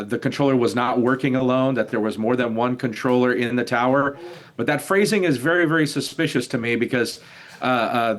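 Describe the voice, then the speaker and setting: Older guy being interviewed on the news as an expert, medium pitch, talks in careful halting chunks. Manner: halting, measured explanation. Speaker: retired air traffic controller, expert guest.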